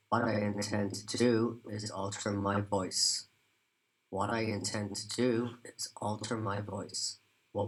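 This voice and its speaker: A man performing an electronically altered demo voice, fairly low and monotone. The delivery is slow and measured, like a test line being repeated for an effect. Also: low-quality recording.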